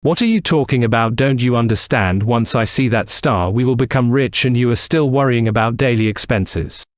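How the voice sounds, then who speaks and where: A man's voice: mid-range voice, moderate pace, no echo, studio quality, lightly acted. A man playing a stubborn, dismissive village farmer who argues insistently in a cartoon moral story. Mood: irritated.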